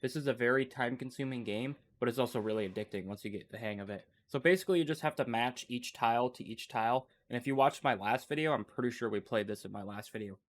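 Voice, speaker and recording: A man's voice: mid-range voice, quick pace, few pauses. A man with a congested, stuffy voice, casually commenting as he clicks through a computer demo and thinks aloud. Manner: thinking aloud, loose and unscripted. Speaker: tech channel host. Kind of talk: casual screen-recording commentary.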